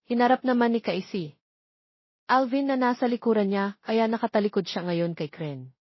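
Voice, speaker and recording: A woman's voice: fairly high pitch, normal speed. A woman reading a scripted romance story as its narrator. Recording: roomy, slightly dull sound.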